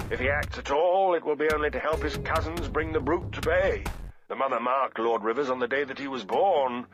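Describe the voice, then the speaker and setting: A man's voice: medium pitch, voice pushed up, natural voice. A man voicing a worldly, gossiping septon who drinks, grave and scornful, in an emphatic, archaic audiobook reading. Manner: theatrical, emphatic, archaic phrasing. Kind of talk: dramatic audiobook character reading. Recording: dull lo-fi audio with music behind.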